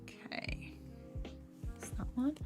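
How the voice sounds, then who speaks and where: Young female streamer, slightly hoarse, soft rambling chat over faint music. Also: takes it slowly. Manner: rambling, filler-heavy, soft-spoken. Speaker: animator streaming while drawing. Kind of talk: casual live-stream chat while working. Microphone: desktop USB microphone. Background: faint background music.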